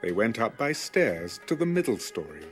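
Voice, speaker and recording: A man's voice: fairly low pitch, very animated, normal speed. A man giving a scripted narration of scripture as an audio Bible narrator. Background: music.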